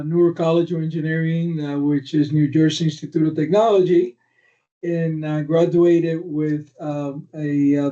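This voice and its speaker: A man, speaking haltingly with many fillers as a podcast guest. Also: a little high, mellow. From Cuba. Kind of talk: conversational podcast discussion. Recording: distant, dull lo-fi audio.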